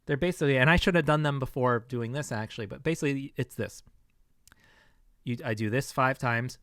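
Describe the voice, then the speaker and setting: A man's voice: medium pitch, a little fast, fairly bright tone, dry recording. A man with a tired, scratchy, fatigued voice, talking casually into a close microphone in a rambling, hesitant way. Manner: rambling, hesitant, many restarts and fillers. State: vocal fatigue, scratchy throat. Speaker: vlogger sharing health experience. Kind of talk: casual personal vlog.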